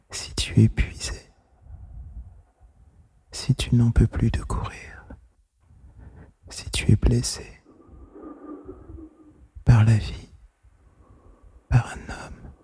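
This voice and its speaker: A man murmurs an intimate relaxation monologue in a breathy whisper. His delivery is extremely slow and gentle, with a soft, mellow tone. He stays close to the microphone, soothing, as if speaking into one listener's ear. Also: medium pitch. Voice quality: breathy, mostly unvoiced whisper. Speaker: host of a whispered relaxation podcast. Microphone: close microphone, made for headphones.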